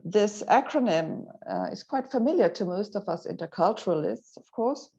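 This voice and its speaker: Gravelly-voiced woman interviewer, dull sound. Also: medium pitch, animated, warm tone. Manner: measured, hesitant with "uh" fillers. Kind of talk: interview questions.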